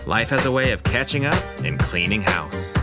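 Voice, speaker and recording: An actor playing a mocking, gloating schemer with a taunting sing-song delivery in an audio drama. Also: male voice, fairly low pitch, lively intonation, moderate pace, close to an everyday voice. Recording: loud music behind the voice.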